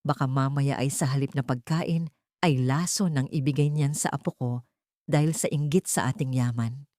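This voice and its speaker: A woman's voice: a bass voice, moderate pace, warm tone, dry recording, clean studio sound, voice pushed down. A woman voicing a haughty, scornful rich grandmother in an audio story, snapping and sneering.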